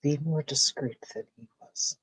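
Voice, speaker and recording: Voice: medium pitch, a little slow, background noise, lightly acted. A player voicing a wary woman investigator, speaking firmly and dryly in a tabletop game. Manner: firm, dry and warning. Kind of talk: playing a character in a tabletop game.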